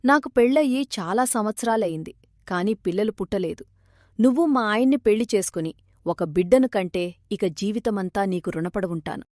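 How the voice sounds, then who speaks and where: A woman playing a gentle, self-sacrificing village wife in a children's moral cartoon, speaking earnestly. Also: mid-range voice, animated, normal speed, no room sound, clean studio sound, lightly acted. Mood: pleading.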